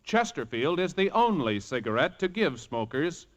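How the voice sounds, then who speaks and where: A man's voice: fairly high pitch, sing-song, normal speed. A man announcing a scripted radio commercial in 1950s advertising style.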